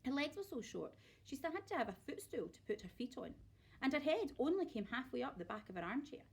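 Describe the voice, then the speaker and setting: A woman's voice: high-pitched, sing-song, brisk, gravelly, husky. A woman narrating a children's story aloud expressively, like a teacher reading to pupils.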